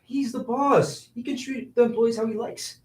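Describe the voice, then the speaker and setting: A man's voice: very high voice, fairly even intonation, normal speed. A man acting a flustered, evasive young womanizer with a stammering, exasperated delivery in a staged play reading. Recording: roomy echo, distant mic.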